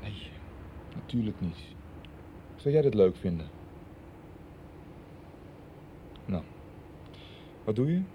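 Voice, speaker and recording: A man's voice: lowish voice, very animated, measured pace, dark tone, a little hiss or hum. An actor in a radio soap playing a grave, secretive man who presses and pleads with his brother. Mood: pleading, pressing. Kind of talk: 1990s Dutch radio soap acting.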